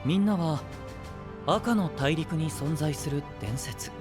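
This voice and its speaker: A male voice actor playing a gentle, composed young knight leader with grand ambitions, soft, polite and persuasive, in an anime-style voice drama. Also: on the high side, slow pace, music in the background. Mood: solemn, grand.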